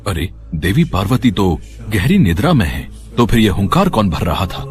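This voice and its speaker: An actor voicing a stern, wrathful male god with a husky voice in a devotional story. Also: lowish voice, big pitch swings, moderate pace. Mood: puzzled, stern. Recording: faint music bed.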